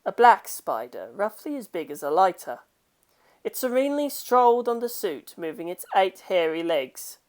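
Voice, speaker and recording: A woman's voice: medium pitch, big pitch swings, moderate pace, a little mellow. A woman reading a scripted dramatic story as its narrator. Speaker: audiobook narrator of fan fiction.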